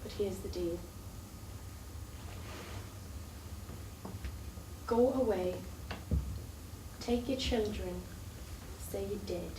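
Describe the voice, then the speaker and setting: A woman's voice: mid-range voice, slow, close to an everyday voice. A woman acting in a stage play as a desperate, bitter, jealous woman, volatile, foul-mouthed and sardonic. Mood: tense, controlled. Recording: roomy, distant stage recording with faint noise.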